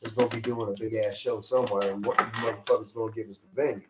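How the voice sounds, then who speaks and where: A man's voice: lowish voice, flat delivery, fast, roomy sound, very noisy. A man hosting a casual radio talk show, brash and swearing freely as he rants. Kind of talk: casual profane banter. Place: radio studio.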